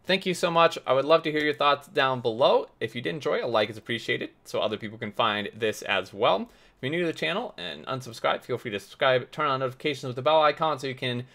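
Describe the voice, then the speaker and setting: A man hosting a casual game tour, talking with warm enthusiasm and plenty of fillers, his voice getting hoarse. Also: mid-range voice, animated, fast. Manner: enthusiastic, rambling with many fillers. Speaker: gaming video host.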